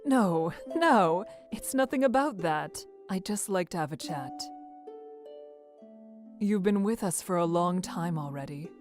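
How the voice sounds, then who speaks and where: A woman playing a low-voiced, brusque matron. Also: expressive, unhurried, darkish tone, faint music behind. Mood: measured, kindly. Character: tough, brusque but caring orphanage matron. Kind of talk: visual novel character reading.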